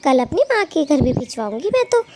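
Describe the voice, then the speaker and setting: A woman's voice: sits high, sing-song, a little fast, darkish tone, a little hiss or hum. A woman voicing a gossipy, scheming female bird thief who dotes on her husband, in a dramatic, exclamatory children's cartoon manner. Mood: greedy, gleeful. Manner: dramatic, exclamatory, scolding and fawning. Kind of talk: Hindi children's cartoon dialogue.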